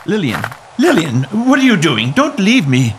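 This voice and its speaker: An actor playing a grieving young English gentleman in a Victorian audio drama, speaking earnestly and formally. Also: on the high side, huge pitch swings, measured pace, noisy background. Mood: alarmed, pleading.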